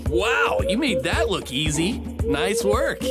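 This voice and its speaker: A man's voice: sits high, sing-song, slow, exaggerated voice. An actor playing a breezy, confident, slangy male helper in a cartoon video game, with music behind. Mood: breezy, upbeat. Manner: casual, upbeat, slangy. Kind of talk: video game character acting.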